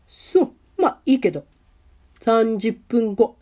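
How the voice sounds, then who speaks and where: A woman's voice: fairly high pitch, sing-song, slow, dark tone, heavily acted. A woman playing a nonchalant, teasing teenage schoolgirl who plays cupid in an amateur radio drama.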